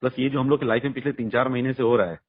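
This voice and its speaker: Husky, combative man on a muffled phone call. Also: mid-range voice, fairly even intonation, rapid-fire. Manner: emphatic, combative, blunt, peppered with rhetorical questions. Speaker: music artist's manager being interviewed. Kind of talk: heated phone conversation.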